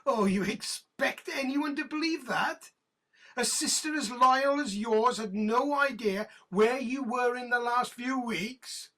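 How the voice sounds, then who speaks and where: Audio drama casting: a mocking, menacing police inspector; husky voice, sarcastic and falsely sweet. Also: female voice, fairly high pitch, unhurried, fairly bright tone. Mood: gloating, taunting. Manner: taunting, sarcastic, mock-sweet.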